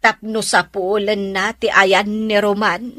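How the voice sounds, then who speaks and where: A woman's voice: mid-range voice, level tone, takes it slowly, pushed and bright, no room sound, clean studio sound. A woman playing a gossipy, easily annoyed middle-aged mother in a radio drama, chatty and insistent. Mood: confiding.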